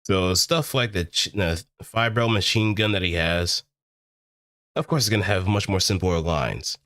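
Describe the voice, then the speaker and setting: A man streaming a casual tutorial, explaining things step by step in a deliberate, halting way with emphatic repetition. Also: low voice, fairly bright tone, no echo, clean studio sound.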